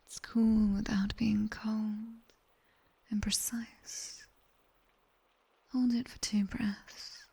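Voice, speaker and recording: A British woman playing a sharp-tongued, teasing demoness tutor in a soft, whispered ASMR roleplay. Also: mid-range voice, level tone, creaky, breathy edge. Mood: teasing, instructing. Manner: slow, hushed, teasing and bossy.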